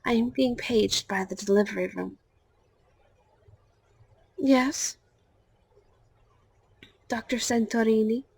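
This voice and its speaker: Reassuring professional nurse played by a woman for audio roleplay: measured, formal, slow and breathy-rough. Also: on the high side, darkish tone, no room sound, exaggerated voice. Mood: brisk, businesslike. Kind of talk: scripted audio roleplay acting.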